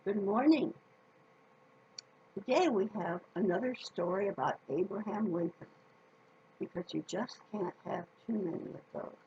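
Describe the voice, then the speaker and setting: Unhurried woman storyteller with a creaky voice. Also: mid-range voice, mellow, lo-fi sound. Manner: folksy, deliberate, addresses listeners directly. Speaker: storyteller reading a picture book to children. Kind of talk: animated children's story read-aloud.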